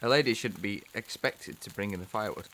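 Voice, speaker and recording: An actor playing a mild seventeenth-century village priest in an audio drama, speaking formally and haltingly. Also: male voice, fairly low pitch, a bit bright, a little hiss or hum, natural voice, rough recording. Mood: courteous, hesitant.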